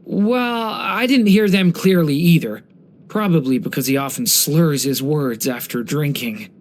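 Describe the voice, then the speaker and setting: A man voicing a young dragon boy in human form for a video game, speaking gently, politely and earnestly, like someone telling a story. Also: a little high, a little slow, a little hiss or hum, lightly acted. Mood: gentle, earnest. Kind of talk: English video game character voice lines.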